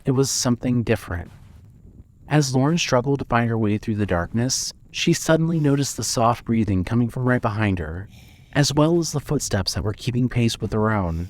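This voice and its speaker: A man's voice: medium pitch, animated, moderate pace, darkish tone. A man narrating a scripted dramatic story for a fiction podcast.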